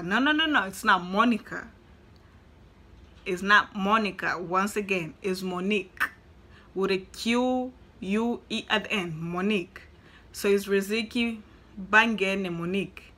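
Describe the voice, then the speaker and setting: A young woman talks straight to her camera in a small room. Her voice is bright and forward. She chats in an animated way and says things twice to drive them home. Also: medium pitch, a little slow. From Tanzania. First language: Swahili. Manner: chatty, animated, repeats herself for emphasis. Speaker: vlogger. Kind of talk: casual talk to camera.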